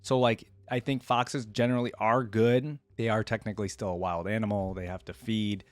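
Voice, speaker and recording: A man's voice: lowish voice, fairly even intonation, normal speed, dry recording. Casual rambling man, dry close sound. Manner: rambling, thinking aloud. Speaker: reaction channel host. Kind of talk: casual unscripted commentary to viewers.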